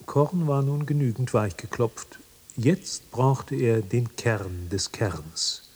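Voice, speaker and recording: A man's voice: medium pitch, slow, darkish tone, breathy edge. An actor playing a grave, ominous narrator in a radio play, his voice set in long reverb.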